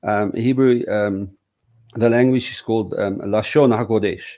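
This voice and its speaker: A man from South Africa speaks haltingly, leaning on fillers and restarting his sentences. He sounds like a teacher explaining his course over a video call. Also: lowish voice, steady tone, warm tone. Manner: hesitant, many fillers and restarts. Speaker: Hebrew teacher. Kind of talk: casual interview conversation.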